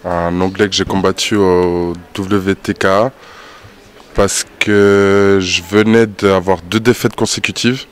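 A young man with a very deep voice talks about his fights in a plain, matter-of-fact way. He answers in turn during a group interview. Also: flat delivery, takes it slowly, smooth. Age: 20s.

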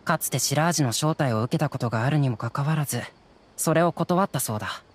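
A man's voice: fairly high pitch, quick pace, a little hiss or hum, lightly acted. An actor playing a chatty, modest, helpful townsman in a Japanese anime game, talking in a casual, informative way.

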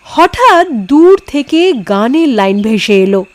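A woman storyteller giving an expressive, dramatised reading of a scripted ghost story. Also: sits high, slow, no echo.

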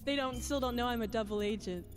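A woman's voice: fairly high pitch, quick pace, over loud music, lightly acted. A woman voicing a sassy, breezy bat double agent in a comedic video game fan dub.

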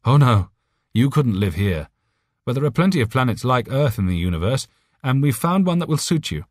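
A man's voice: mid-range voice, very animated, moderate pace, a little mellow, dry recording, studio quality, pitched above the performer's own voice. A man voicing an alien telepathic voice for an audiobook, urgent, friendly and earnest, with careful phrasing. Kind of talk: audiobook character voice.

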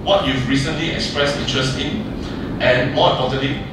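A man's voice: medium pitch, noisy background. A man in his thirties from Malaysia giving a conference keynote from a lectern, talking with energy and acting out short dialogues. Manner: lively, acts out quick dialogues in the story. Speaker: keynote speaker. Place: large conference hall. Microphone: lectern mic, filmed from far off. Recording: very echoey hall from far off.

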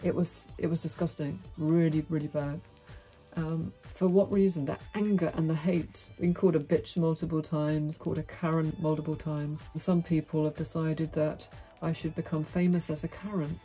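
A woman's voice: mellow, rough recording. A middle-aged woman, talking frankly and wryly to camera in a small dim room. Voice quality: deep voice. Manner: outspoken, frank, wry. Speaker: vlogger. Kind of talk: candid monologue to camera. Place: small dim indoor room with brick wall.

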